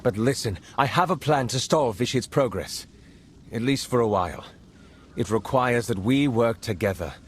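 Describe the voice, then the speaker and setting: A man acting a troubled intelligence agent in a video game. He is earnest, with a middling pitch and some noise behind his voice. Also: lively intonation, moderate pace, close to an everyday voice. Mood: worried, earnest.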